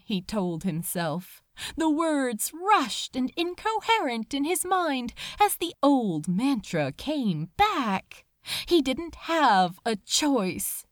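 A woman narrating fiction in a dramatic, emotive way, with deliberate pauses. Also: high voice, no echo, studio quality. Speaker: audiobook reader.

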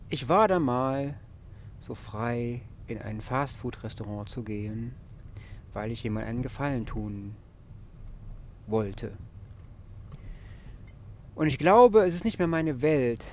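A man's voice: mid-range voice, a little mellow, slight background noise. A man hosting his own podcast, telling a wordy, mildly ironic story in a casual monologue. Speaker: podcast host.

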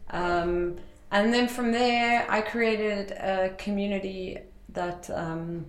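A woman's voice: mid-range voice, steady tone, slow. A South African woman explaining her work in a casual interview, talking in a loose, rambling way with many fillers and restarts. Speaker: interview guest, tech entrepreneur. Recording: echoey room.

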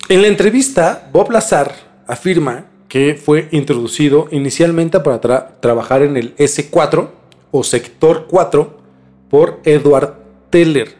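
A man's voice: a little high, mellow. Emphatic man hosting over background music. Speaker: podcast host. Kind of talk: conversational storytelling to a co-host. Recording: music bed under the voice.

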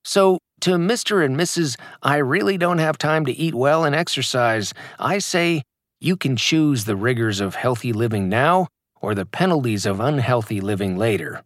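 A man narrates his own motivational fitness audiobook, hitting key words hard with an emphatic, punchy delivery. His pitch sits mid-range but moves a lot. The recording is dry and close, with no room sound. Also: lively intonation, moderate pace, no room sound. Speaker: author reading his audiobook.

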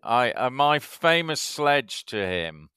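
A man in his fifties from Yorkshire, a guest telling anecdotes in a halting way, with many fillers. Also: medium pitch, big pitch swings, sharp tone, smooth, no room sound, clean studio sound. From Yorkshire, England. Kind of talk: casual interview chat.

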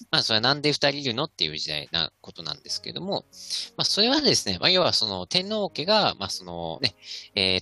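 A man giving an informal, impromptu history explanation in halting, choppy phrases with many fillers. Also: lowish voice, bright, forceful tone. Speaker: history club member, podcast panelist.

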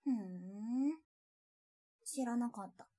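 A woman's voice: fairly high pitch, slow pace, warm tone. An actress playing a nosy, tactless schoolgirl classmate in a Japanese audio drama, talking in a casual, needling way. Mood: nosy, dismissive.

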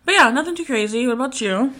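A woman's voice: sits high, lively intonation, fast talker, sharp tone. A woman in her twenties co-hosting a podcast, telling stories in casual, informal chat. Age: 20s. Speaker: podcast co-host.

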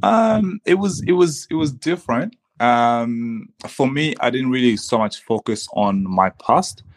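A man from the Congo who grew up in refugee camps and Australia, a native Swahili speaker, telling his story reflectively and haltingly as an interview guest. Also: mid-range voice, animated, no echo. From the Congo, raised in Zimbabwean refugee camps and Australia. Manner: halting, with frequent repeats and "you know".

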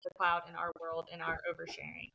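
Lower-pitched woman, conversational. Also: monotone, quick pace, vocal fry, highs cut off. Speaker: book podcast co-host. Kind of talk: casual book discussion.

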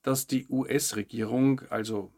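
Man, creaky gravelly voice, mid-pitched, scripted news commentary, host delivery. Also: fairly even intonation, unhurried, darkish tone. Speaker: host of a weekly news update show.

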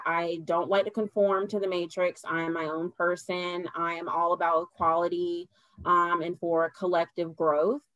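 A young adult woman, a podcast guest, talking earnestly in a casual chat and turning animated when she laughs. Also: lowish voice, no echo, poor audio.

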